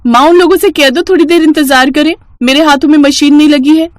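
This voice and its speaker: A woman voicing a cheeky, bossy, sharp-tongued young bride-to-be in a cartoon story. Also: sits high, fairly even intonation, a little fast, dry recording, studio quality, pitched above the performer's own voice, lightly acted. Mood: defiant.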